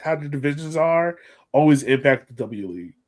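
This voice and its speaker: A man's voice: a little high, expressive, fairly quick. A man hosting a casual soccer podcast, chatting in a slangy, informal way. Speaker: podcast host.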